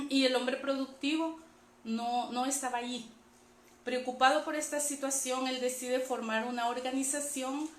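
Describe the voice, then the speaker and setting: A Salvadoran woman with a fairly high voice shares a religious testimony. She talks conversationally, checking in with her listeners as she goes. The room is clearly echoey, with faint noise behind her. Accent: Salvadoran Spanish. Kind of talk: personal religious testimony to an online audience.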